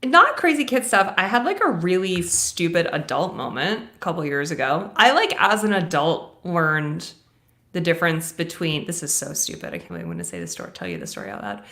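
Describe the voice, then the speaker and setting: A woman in her thirties whose first language is Russian, reading viewer questions and telling self-mocking stories in a lively way. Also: lowish voice, a little fast. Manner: animated, self-mocking storyteller. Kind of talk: casual live Q&A chat.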